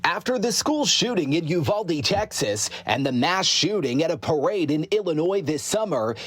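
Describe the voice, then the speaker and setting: A man's voice: on the high side, very animated, moderate pace, a bit bright. A man with an American accent delivering a scripted television news report from the field. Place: outdoors, live report outside police precinct. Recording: faint outdoor background noise.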